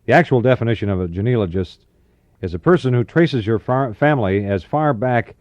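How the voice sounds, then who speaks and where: A man's voice: medium pitch, expressive, fairly quick, darkish tone, no room sound, highs cut off. An American man presenting as a 1960s AM radio DJ and announcer. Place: dry radio studio. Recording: 1960s radio aircheck, music behind.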